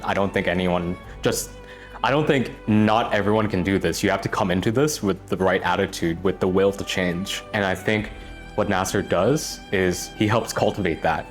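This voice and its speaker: A young man from Canada, a workshop participant giving an earnest, reflective testimonial. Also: sits low. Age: young adult. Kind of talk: testimonial interview.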